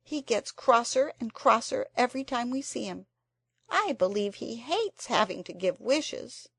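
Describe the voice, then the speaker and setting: A glum, blunt little girl who speaks flatly and expects the worst, as a woman reads her. The voice sits high with a gravelly grain, close and dry. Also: unhurried, no room sound, exaggerated voice. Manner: flat and glum. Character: gloomy, blunt young girl. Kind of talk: storybook character voice.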